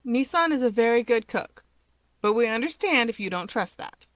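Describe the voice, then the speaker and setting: A woman's voice: fairly high pitch, lively intonation, moderate pace, a bit bright, no room sound. A woman reads as a poised young big sister in an audio story. She is polite and caring but firm, heard close and dry. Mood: gently reassuring.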